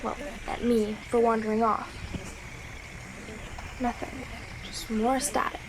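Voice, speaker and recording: A woman's voice: fairly high pitch, level tone, slow pace. A woman playing a young girl scout alone in a radio drama, childlike and earnest, anxious but determined. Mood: lonely, earnest. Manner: childlike, earnest, self-reassuring. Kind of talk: audio drama monologue as radio transmission. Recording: clearly noisy radio transmission with ambience.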